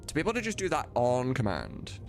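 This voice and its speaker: Male streamer, lowish creaky voice, lively intonation, casual muttered work chat, informal, music behind. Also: fairly quick. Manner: informal, sweary, muttering to himself while working. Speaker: streamer and game modder. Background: music, computer keyboard typing.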